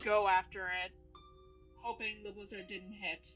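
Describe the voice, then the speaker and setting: A woman's voice: mid-range voice, flat delivery, a little slow, fairly bright tone. A woman playing a tabletop roleplaying game, casually narrating and speaking for her own character. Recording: dull lo-fi mic, music underneath.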